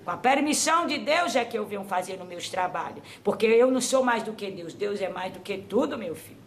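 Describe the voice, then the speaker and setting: A woman acting as a medium for an old grandmother spirit, giving slow, repetitive spiritual advice that trails off softly. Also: mid-range voice, expressive, slight background noise, natural voice. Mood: counseling. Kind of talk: spiritual counseling.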